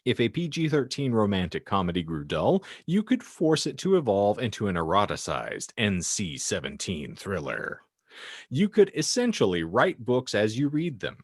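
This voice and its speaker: A man's voice: on the low side, dry recording, studio quality. A man hosting a podcast, moving between scripted narration and casual chat with theatrical, wordy phrasing. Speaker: podcast host.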